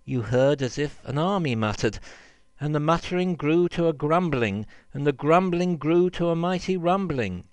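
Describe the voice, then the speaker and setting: A man's voice: on the high side, animated, normal speed, a little mellow, clear, resonant tone, no echo. Theatrical male storyteller reading verse. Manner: theatrical, rhythmic. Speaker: reader, storyteller.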